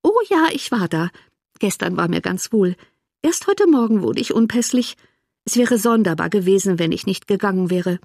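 A woman's voice: mid-range voice, animated, moderate pace, a little mellow, dry recording, clean studio sound. A woman voicing a fretful, self-pitying young married woman with a plaintive, complaining manner in an audiobook. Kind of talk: audiobook character dialogue.

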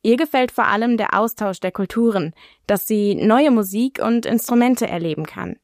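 A woman's voice: a little high, moderate pace. A woman reporter reading a scripted radio feature narration. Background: music.

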